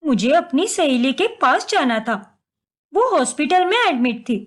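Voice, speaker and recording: A woman's voice: sits high, sing-song, moderate pace. A woman voicing a scheming, deceitful young daughter-in-law in a story, whining and complaining dramatically. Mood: aggrieved.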